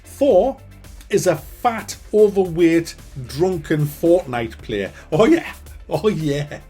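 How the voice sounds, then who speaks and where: A man's voice: on the high side, lively intonation, takes it slowly, mellow, breathy edge, faint music behind. A man giving a ranting film review, sarcastic and full of swearing and stammered restarts.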